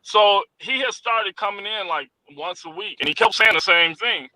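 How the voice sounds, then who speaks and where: A man's voice: high-pitched, normal speed, bright, forceful tone, no room sound, rough recording. A man who owns a popcorn shop, chatting as a guest, telling stories animatedly with restarts and repeated words. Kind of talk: casual interview chat.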